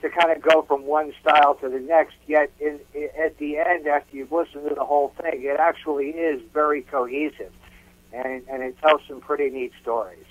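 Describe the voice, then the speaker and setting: A man's voice: on the high side, flat delivery, moderate pace, no echo, lo-fi sound. A man speaking as a musician guest in a casual interview, repeating words as he explains.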